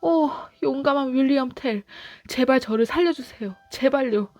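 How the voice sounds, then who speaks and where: A woman's voice: sits high, moderate pace, a little mellow, no room sound, faint music behind, pitched above the performer's own voice. A woman voicing a desperate shepherd man on the run in a storybook, begging urgently. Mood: desperate pleading.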